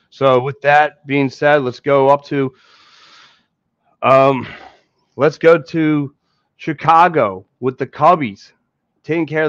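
A man's voice: mid-range voice, clear, resonant tone, no room sound. A man in his thirties with a Philadelphia accent, hosting a casual postgame sports podcast and rambling with frequent repeats and restarts. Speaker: podcast host. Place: small indoor room in front of a green screen. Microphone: headset mic. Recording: dull lo-fi dry sound.

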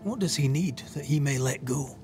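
A man acting a steady ghost hunter in a dramatic video game. His mid-pitched voice is calm and deliberate, coaxing yet firm, with faint music underneath. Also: close to an everyday voice. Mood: sympathetic.

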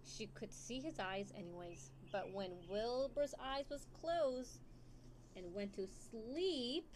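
A young woman reads a children's picture book aloud at an unhurried, slow pace. Her voice sits fairly high and is expressive, as she engages little listeners. There is some background noise in the recording. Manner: expressive, asks listeners questions. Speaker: storytime reader.

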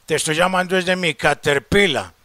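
A Colombian man making a comedic prank call, smooth-talking and full of pet names. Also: fairly high pitch, normal speed, bright, forceful tone, no room sound, clean studio sound. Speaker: prank caller, show host. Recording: very noisy phone-call recording.

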